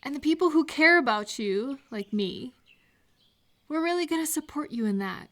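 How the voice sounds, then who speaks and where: A woman playing a supportive, gentle friend in an intimate, casual audio roleplay, speaking in a reassuring, unhurried way to the listener. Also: high-pitched, lively intonation. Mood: warm, sincere.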